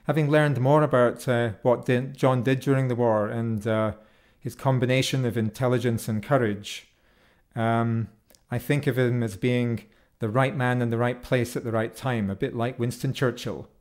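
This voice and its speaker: A man from Scotland with a Scottish accent, speaking to an audience in an unhurried, reflective and affectionate way as he tells personal stories in tribute. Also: mid-range voice, fairly even intonation, a little mellow. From Perth, Scotland. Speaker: folk musician and speaker at a memorial.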